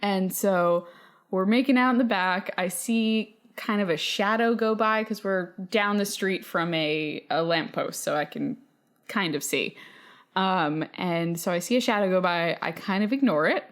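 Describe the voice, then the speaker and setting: Woman on a podcast just chatting and telling a story, droll, super informal, middle pitch. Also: measured pace. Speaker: podcast co-host. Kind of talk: casual chat and personal storytelling.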